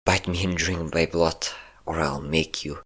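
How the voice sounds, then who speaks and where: Guy doing a super deep vampire captor, flirty and sadistic, smug bossy teasing, intimate audio roleplay. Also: steady tone, measured pace, a bit bright, husky, heavily acted. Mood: coaxing, dominant. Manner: teasing, commanding, theatrically smug.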